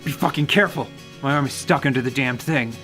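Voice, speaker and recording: A man voicing a desperate bandit leader who stammers, yells and begs in a radio drama. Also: medium pitch, quick pace, music in the background, close to an everyday voice. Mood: furious. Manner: frantic, stammering and yelling. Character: desperate male bandit leader, panicked and pleading.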